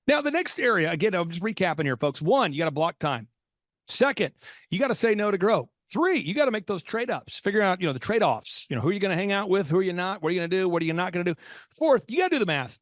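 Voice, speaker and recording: A man with a notably high voice hosts a business podcast, talking in a dry, close-miked sound. He is animated and preachy, hammering on catchphrases and now and then breaking into a shout. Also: fast talker, no echo. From Oklahoma. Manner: animated, preachy, repeats punchlines with sudden shouts. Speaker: podcast host. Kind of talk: energetic business podcast talk.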